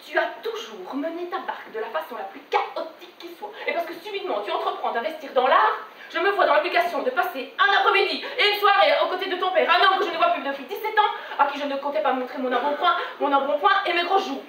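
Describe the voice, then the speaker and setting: A woman's voice: very high-pitched, expressive, quick pace, hardly any pauses, pushed and bright, distant mic, heavily acted. A woman voicing an aggrieved older mother, plaintive and emphatic, in a theatrical stage monologue. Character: aggrieved, self-pitying older mother guilt-tripping her daughter. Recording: echoey stage recorded from afar, faint noise.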